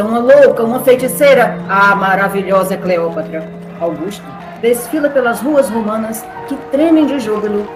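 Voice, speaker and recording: A woman narrates an epic in dramatic, scripted storytelling style. Her mid-pitched voice has a roomy echo, with clear music behind it.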